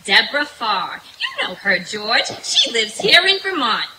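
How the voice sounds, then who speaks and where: A woman's voice: on the high side, huge pitch swings, a little slow. A woman playing a scatterbrained, cheerful comedienne in old-time radio comedy style. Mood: daffy, innocent. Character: scatterbrained, cheerful comedienne wife with daffy logic. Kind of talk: 1930s radio comedy acting. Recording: hissy, noisy live stage recording.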